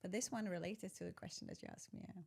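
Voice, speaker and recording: A woman's voice: on the low side, fast talker, vocal fry, husky. A woman in her forties, from Poland with Polish as her first language, talking reflectively as a podcast guest, searching for words and correcting herself. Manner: reflective, searching, self-correcting, soft laughs. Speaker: guest, executive coach. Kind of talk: conversational interview.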